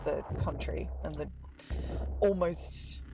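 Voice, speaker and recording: A woman's voice: low voice, slow pace. An Australian woman in casual, informal conversation in a car, with a dry, joking manner. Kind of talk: casual chat. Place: inside a car being driven. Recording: clear road noise inside moving car.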